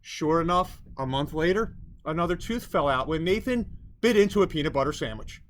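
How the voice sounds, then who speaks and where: A man narrating a children's storybook as a storyteller, in expressive scripted narration. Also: on the high side, fairly quick, a little hiss or hum. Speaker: storyteller reading a children's book.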